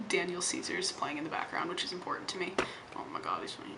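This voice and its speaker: A young woman vlogging at home with a deep voice, blunt, wry and profane, often talking in a hushed half-whisper. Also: big pitch swings, fairly quick, creaky, noisy background. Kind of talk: casual vlog talk to camera. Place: home room.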